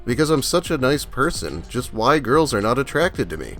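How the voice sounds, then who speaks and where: A man's voice: mid-range voice, very animated, normal speed, fairly bright tone, soft background music. A man reading as a self-pitying, aggrieved teenage male in plaintive broken English for a comedy video.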